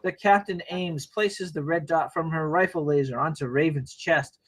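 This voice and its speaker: A man with a fairly high voice narrates stage directions from a screenplay. It is an informal table read, delivered as scripted present-tense narration. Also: normal speed. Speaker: narrator of screenplay action lines.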